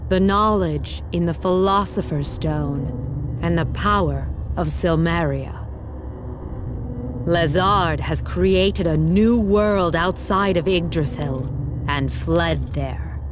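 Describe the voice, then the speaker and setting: An actress playing a resolute, authoritative valkyrie in a fantasy video game cutscene. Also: female voice, on the low side, slow, background noise. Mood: grave, explaining. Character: grave, resolute valkyrie woman, calm and authoritative.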